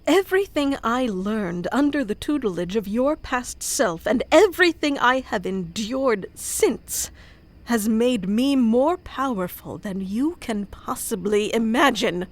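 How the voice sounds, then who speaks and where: A woman's voice: on the high side, lively intonation, measured pace. A woman voicing an obsessive, clingy and powerful female kitsune familiar in an intimate, theatrical ASMR roleplay. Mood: hurt, indignant. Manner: intimate, theatrical, swinging between hushed and pleading.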